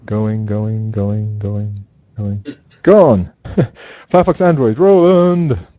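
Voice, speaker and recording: A man with a lowish voice running a community call, brisk and playful as he moves through the agenda. His audio is dry and close, but dull and muffled. He sounds like an easygoing host. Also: no echo. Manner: brisk, playful, with repeated restarts. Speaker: meeting host. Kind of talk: casual meeting moderation.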